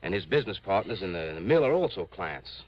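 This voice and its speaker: An earnest American insurance manager in a fifties radio play, explaining in halting phrases. His voice is low, with lively inflection. The sound is muffled like an old broadcast. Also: no room sound. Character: earnest male insurance office manager briefing an investigator. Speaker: supporting actor in a radio drama. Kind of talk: 1950s radio acting. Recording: dull old radio recording.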